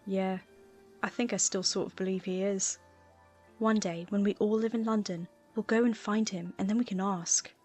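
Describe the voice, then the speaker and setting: A woman's voice: mid-range voice, steady tone, normal speed, darkish tone, lightly acted. A woman voicing a cocky, playful teenage schoolboy with a casual, mock-offended manner in an audiobook. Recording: music playing underneath.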